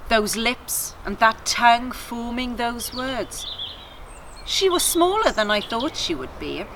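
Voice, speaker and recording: A woman's voice: medium pitch, sharp tone. A woman reading children's stories outdoors in a garden, chatting informally and narrating expressively. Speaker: children's story reader. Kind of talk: friendly chat and expressive storybook narration. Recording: faint outdoor garden noise.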